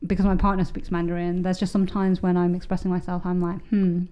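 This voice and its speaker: A woman's voice: on the low side, monotone, quick pace, dark tone, clear, resonant tone, dull audio. A woman co-hosting a podcast in casual chat, rambling with many fillers and restarts. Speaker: podcast co-host.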